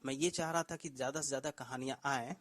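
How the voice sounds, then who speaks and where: A man's voice: mid-range voice, steady tone, quick pace, fairly bright tone, dry recording, clean studio sound. A man, a story writer and co-host, chatting in an effusive, courteous and poetic manner. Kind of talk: casual, appreciative show conversation.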